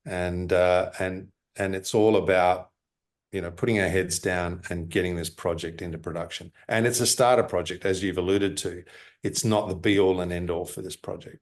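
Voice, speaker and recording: A man with a very low, deep voice speaks as a mining executive in a remote interview. He talks in a halting way, full of "um"s and restarts. He is heard over a video call. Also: steady tone. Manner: halting, many fillers and restarts. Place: office in Lima.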